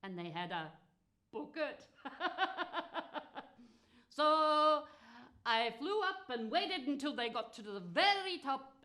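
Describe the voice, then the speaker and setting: A woman's voice: high voice, very animated, slow, pauses often, bright, forceful tone, full character voice. A woman playing a spiteful, gleeful, foul-mouthed witch who tells comic fairy tales in a theatrical, cackling manner. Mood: gleeful, mischievous. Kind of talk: comic storytelling monologue.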